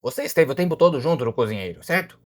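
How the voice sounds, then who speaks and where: A man voicing a blunt, exasperated alligator detective, a ship's guard who questions people in a casual, muttering way, in an animated film. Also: a little high, very fast, lightly acted. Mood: suspicious. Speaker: ship security guard turned detective. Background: faint music.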